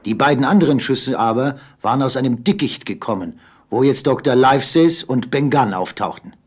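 A man's voice: medium pitch, animated, fairly quick, husky. A man narrating an adventure audio play as a scripted, dramatic storyteller.

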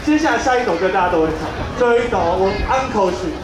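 A man with a strikingly high voice talks to the crowd between songs, upbeat and stretching words for effect. His handheld mic booms through PA speakers at a nighttime outdoor festival, echoing around the square. A crowd murmurs and a steady noise sits behind him. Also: fast talker, music in the background. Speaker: band singer announcing songs. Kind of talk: live stage patter between songs.

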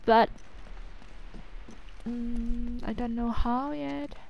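Female gamer, high-ish voice, unhurried short remarks over prominent game music. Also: fairly even intonation, vocal fry, a little mellow, low-quality recording. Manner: talks to herself in short phrases. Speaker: gamer commentating a playthrough. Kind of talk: casual gameplay commentary. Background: video game music and sounds.

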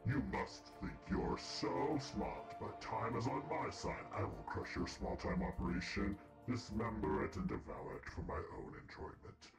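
A man using voice changer software to voice a gloating, cruel male demon lord in a game story. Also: fairly low pitch, animated, measured pace, gravelly, few pauses, voice pushed down. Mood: menacing. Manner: menacing, theatrical threats. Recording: roomy, with game music behind.

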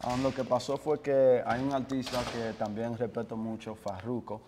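A young man chats with easy, laid-back energy, slipping English phrases into his Spanish as he talks about himself. His voice sits at an ordinary middle pitch. The small office adds a light trail of reverb and a faint hum behind him. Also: flat delivery, normal speed. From United States. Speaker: guest musician. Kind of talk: casual interview chat. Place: small office with couches, indoors.

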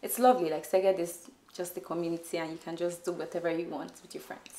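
Woman with a lowish voice in a roomy room. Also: flat delivery, quick pace, a little hiss or hum. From Nigeria. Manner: warm, upbeat, many "like" fillers. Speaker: interview guest. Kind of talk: casual interview answers. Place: indoor studio on a sofa. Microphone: off-camera shotgun mic.

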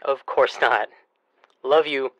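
Man playing a calm, reassuring dad. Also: medium pitch, slow, highs cut off, lightly acted. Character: Rami, calm, reassuring father. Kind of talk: audio drama acting.

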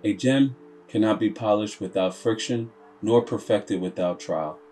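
Low-voiced man in solemn dramatic narration. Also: dark tone. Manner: solemn, deliberate, with dramatic pauses. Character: computer processor telling its own story as a grave, proud character. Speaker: video narrator. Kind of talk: dramatic scripted storytelling narration. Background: music.

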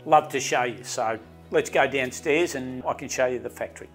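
A man's voice: mid-range voice, normal speed. A middle-aged Australian man who owns a boatbuilding firm, answering interview questions enthusiastically with many restarts and fillers. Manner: enthusiastic, rambling with restarts and "you know". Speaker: boatbuilding company owner. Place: boat factory workshop. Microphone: lapel mic. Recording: faint background music.

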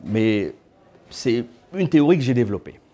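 A man in his thirties lectures to a small audience from his seat in an indoor room by a window, picked up by a camera a short way off. His voice is soft and warm. He keeps the tone loose and chatty, slipping in playful asides to the listeners. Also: medium pitch, big pitch swings, moderate pace. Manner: conversational, with joking asides to the audience. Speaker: guest speaker.

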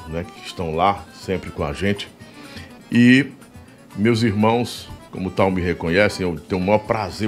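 A man from Piauí, hosting a podcast in animated, joking, rambling casual chat. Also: on the low side. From Piauí, Brazil. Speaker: podcast host.

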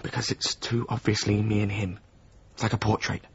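Low husky man, weary former apprentice. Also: flat delivery, fairly quick, exaggerated voice. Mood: matter-of-fact. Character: painter's weary, resentful male former apprentice and companion. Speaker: radio drama actor. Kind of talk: naturalistic radio drama dialogue. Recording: slightly muffled radio drama recording.

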